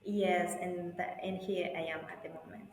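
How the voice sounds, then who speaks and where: A woman's voice: fairly low pitch, flat delivery, moderate pace, a little mellow, a little hiss or hum. Young Tanzanian woman on an echoey call. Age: young adult. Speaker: attorney, interview guest. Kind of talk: conversational interview answers.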